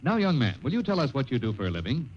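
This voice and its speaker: An American man working as a vintage radio pitchman and interviewer, with very lively swings of pitch. The recording is dull and a little noisy. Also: rapid-fire. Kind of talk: scripted 1950s radio commercial.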